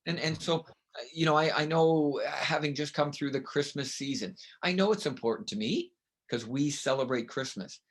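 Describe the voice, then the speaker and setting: Man, podcast guest, loud voice, emphatic delivery, keeps asking "right?", conversational. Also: a little high, normal speed. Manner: emphatic, animated, says he has a loud voice; peppers points with "right?". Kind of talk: conversational interview.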